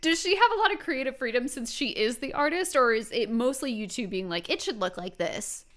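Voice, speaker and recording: Female host for a candid chat, playful and quick to giggle, with an expressive, animated voice. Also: fairly high pitch. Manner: playful, giggly, agreeable banter. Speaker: interviewer, video host. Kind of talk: casual candid interview chat.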